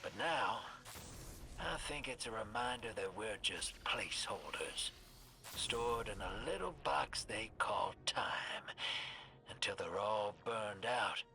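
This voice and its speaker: A man's voice: lowish voice, creaky, sharp tone, full character voice. An actor playing a weary male frontier scavenger with a drawling, folksy, reflective manner. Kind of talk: video game voice acting.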